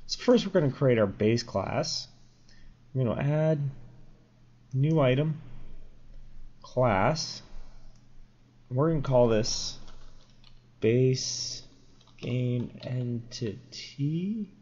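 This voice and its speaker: A man's voice: medium pitch, lively intonation, extremely slow, long pauses, darkish tone. A young man with a sore throat and a slightly crackly voice, casually explaining code in a rambling screen-recorded tutorial with fillers and self-corrections. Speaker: programming tutorial host. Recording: dull lo-fi mic.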